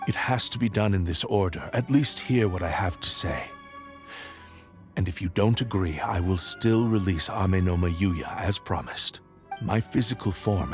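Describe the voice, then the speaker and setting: Grave cursed sword spirit. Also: male voice, low voice, faint music behind. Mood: earnest. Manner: grave, formal, measured. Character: solemn ancient cursed sword spirit, male, remorseful. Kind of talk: video game voice acting.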